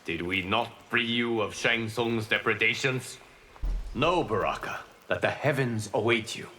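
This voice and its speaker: An actor playing a solemn, benevolent god in a video game, speaking in a formal, measured, lofty way. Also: male voice, fairly low pitch, bright, forceful tone, a little hiss or hum. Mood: measured, consoling. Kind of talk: video game character dialogue.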